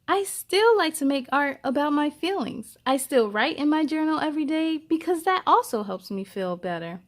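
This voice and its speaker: A woman's voice: sits high, big pitch swings, normal speed. A woman hosting a children's story time, reading aloud expressively with chatty asides and speaking encouragingly straight to young listeners.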